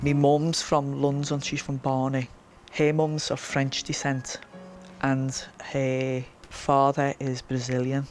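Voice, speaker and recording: A man's voice: medium pitch, monotone, takes it slowly. A man, chatting anecdotally about old family photos in a documentary interview. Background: background music.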